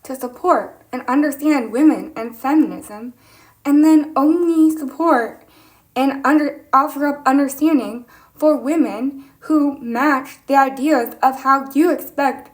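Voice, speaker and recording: A young woman with a high voice, speaking earnestly and insistently to camera in an opinion vlog, pressing her points with indignant questions. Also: a little slow. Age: young adult. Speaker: TikTok creator.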